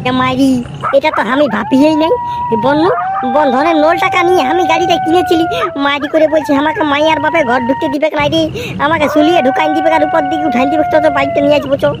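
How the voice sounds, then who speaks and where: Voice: high voice, steady tone, quick pace, very noisy, close to an everyday voice. A performer voicing a nervous, foul-mouthed, superstitious young village man in a comic cartoon, with a Purulia Bengali accent. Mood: panicked. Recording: music behind the voice.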